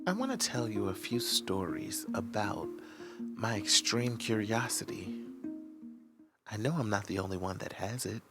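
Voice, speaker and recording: A man playing a slow, secretive obsessive confessor. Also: lowish voice, lively intonation, over loud music. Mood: smug, sinister. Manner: measured, deliberate, confiding, dramatic pauses. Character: Josh, secretive obsessive man confessing his curiosity about strangers. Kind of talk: scripted audio drama first-person narration.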